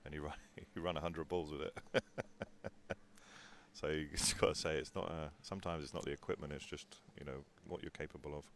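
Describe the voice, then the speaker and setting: Male commentator, very low voice, chatty anecdote teller, faint background hum. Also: fairly even intonation, a little fast, a bit bright. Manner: chatty, tells anecdotes about players. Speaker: pool commentator. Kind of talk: live sports commentary.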